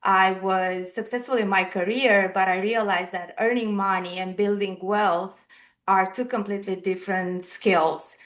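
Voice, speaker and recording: A woman's voice: mid-range voice, fairly even intonation, a little slow, a bit bright. A woman in her thirties from Romania, speaking English with a Romanian accent as a podcast guest, earnestly telling her personal story.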